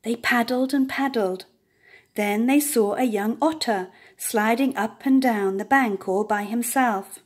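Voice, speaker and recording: An older woman reading a children's story aloud as an expressive storyteller. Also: on the high side, unhurried, slightly rough.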